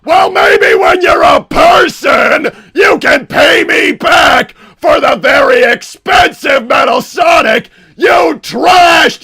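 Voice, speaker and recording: A man voicing a hammy, short-tempered mad-scientist villain on a comedic livestream, blustering and theatrical with a gravelly rasp. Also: sits high, full character voice. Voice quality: gravelly, raspy shouting.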